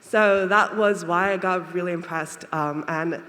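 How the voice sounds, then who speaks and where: A woman's voice: on the low side, fairly even intonation, measured pace, a bit bright. A woman from Japan asking a long, winding question from the audience, hesitant and full of fillers. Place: lecture hall, audience microphone. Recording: reverberant hall sound.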